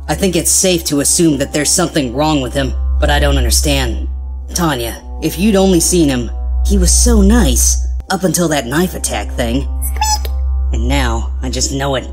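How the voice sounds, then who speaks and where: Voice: medium pitch, lively intonation, moderate pace, darkish tone, close to an everyday voice. An anxious young woman as acted in a comic dub, exasperated and confiding. The delivery is agitated, with faint music underneath. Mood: uneasy, wistful.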